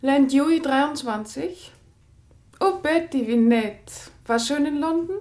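High-voiced, gracious country lady. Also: lively intonation, takes it slowly, a little mellow, a little hiss or hum. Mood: eager, chatty. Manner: effusive, polite, conversational. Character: gracious, chatty married country lady, eager and obliging. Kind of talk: German radio play acting.

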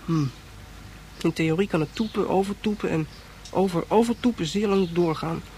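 Man playing a high-voiced, earnest young rules explainer. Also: normal speed, mellow, slight background noise, natural voice. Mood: instructive. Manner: instructive, matter-of-fact. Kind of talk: radio play narration.

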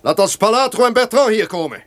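An actor playing an imperious, menacing duke in an old-fashioned radio drama, speaking formally, theatrically and with command. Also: male voice, high-pitched, lively intonation, brisk, slight background noise. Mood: stern, suspicious.

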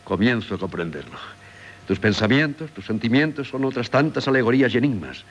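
An actor playing a middle-aged, devoted and sorrowful doctor husband in a radio drama, solemn and theatrical, with a Castilian Spanish accent. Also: male voice, medium pitch, expressive, brisk, dull audio, close to an everyday voice. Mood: earnest wonder. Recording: slightly noisy 1970s radio recording.

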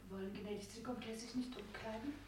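A woman's voice: fairly high pitch, steady tone, a little fast, a little mellow, lightly acted. An actress in a 1960s German radio drama playing a devoted, sentimental lady's maid with a deferential manner. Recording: echoey, distant, noisy old radio recording.

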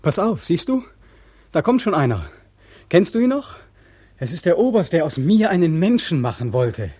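Casting: despairing young ex-soldier, mellow husky voice, anguished and sardonic, for vintage radio drama in a dry studio. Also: male voice, fairly high pitch, big pitch swings, normal speed, no room sound. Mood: anguished, sarcastic. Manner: anguished, accusing, sardonic, rhetorical repetition. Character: bitter, despairing young war veteran, dying in the street. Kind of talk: 1940s German radio drama acting.

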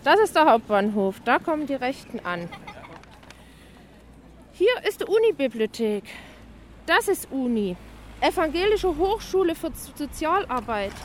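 A woman from Dresden speaks spontaneously and emphatically in a street interview, often repeating herself. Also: sits high. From Dresden, eastern Germany. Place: city street, outdoors. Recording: noisy outdoor street recording.

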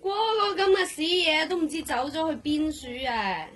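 An actress playing a fussy, excitable, complaining working woman in a radio drama. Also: sits high, moderate pace.

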